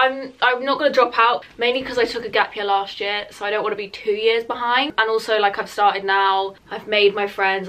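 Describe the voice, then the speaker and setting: A woman's voice: medium pitch, pushed and bright. A twenty-year-old woman with a British accent, chatting informally to camera as a vlogger, often saying "like". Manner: informal and chatty, heavy use of "like". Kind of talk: casual get-ready-with-me chat to camera. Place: small room at home, indoors. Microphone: camera a metre or so away.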